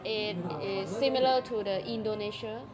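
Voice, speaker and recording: A woman with a Malaysian Chinese accent, her first language Chinese, speaking halting English in a casual interview and searching for words. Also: medium pitch, steady tone. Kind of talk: casual conversation. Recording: loud music behind the talk.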